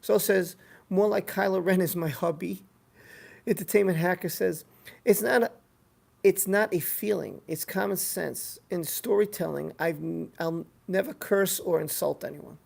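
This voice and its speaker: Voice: medium pitch, moderate pace, vocal fry, a little mellow. A live stream host chatting casually and reading out viewer comments, rambling and repeating phrases for emphasis.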